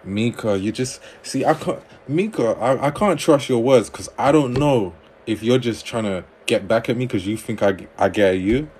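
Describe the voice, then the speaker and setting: A man hosting a livestream on dating advice, reading viewer comments aloud and answering them in a relaxed conversational way. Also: mid-range voice, moderate pace, hardly any pauses, a little hiss or hum.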